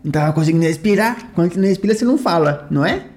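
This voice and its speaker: A man's voice: high-pitched, animated, racing, darkish tone. Stammering man playing a whiny kid. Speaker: player. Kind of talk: in-character roleplay as a schoolboy. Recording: music under the voice.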